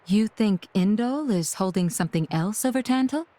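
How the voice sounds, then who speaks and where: An actress voicing a calm, polite female knight companion. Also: mid-range voice, normal speed, no echo, natural voice. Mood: composed.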